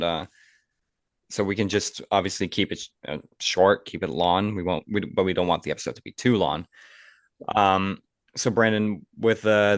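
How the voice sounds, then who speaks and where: Low deep guy voice, close dry sound, casual rambly podcast talk, pretty opinionated. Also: no room sound. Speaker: podcast co-host.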